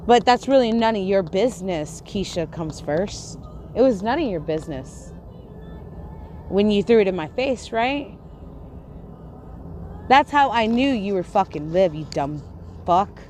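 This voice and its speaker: A woman with a stutter and a lisp fires off a heated, profanity-laced rant at her livestream chat. She talks at a middle pitch, repeats herself and stumbles into restarts as she confronts viewers. A faint hum of background noise sits under her voice. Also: expressive, unhurried, long pauses, darkish tone. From Texas. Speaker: YouTuber on a livestream.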